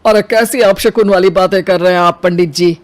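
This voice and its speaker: A man's voice: sits high, steady tone, brisk, no room sound, close to an everyday voice. A man voicing a conservative, opinionated older father in a Hindi cartoon. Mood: alarmed. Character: conservative older father of the groom, stuffy, traditional.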